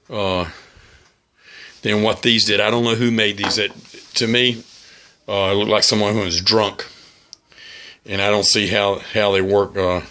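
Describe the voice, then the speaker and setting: A man with a fairly low voice and a bright, forceful edge talks through a hands-on hobby demonstration. His delivery is casual and halting, with plain-spoken words of caution and practical advice. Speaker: amateur radio hobbyist presenting a how-to video.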